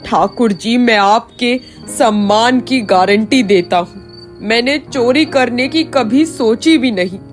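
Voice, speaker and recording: A woman voicing a humble, earnest poor village man who speaks respectfully and pleadingly in an animated story. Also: fairly high pitch, slow, soft background music.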